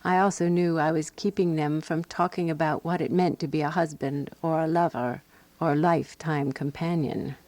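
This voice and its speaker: An actress as a wry, exasperated woman narrator, lower-voiced. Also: fairly even intonation, normal speed, darkish tone, no echo, close to an everyday voice. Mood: wry, reflective. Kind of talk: radio drama narration and dialogue.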